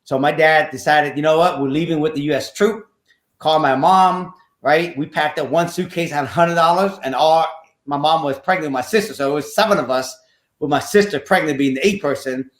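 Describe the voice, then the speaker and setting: A man's voice: on the high side, a little fast, reverberant. A man in his fifties from Vietnam, telling his life story as a podcast guest in an earnest, repetitive way with some nonstandard grammar. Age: 50s. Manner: earnest, repeats phrases, slightly nonstandard English grammar. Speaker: podcast guest, real estate investor. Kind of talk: casual interview storytelling.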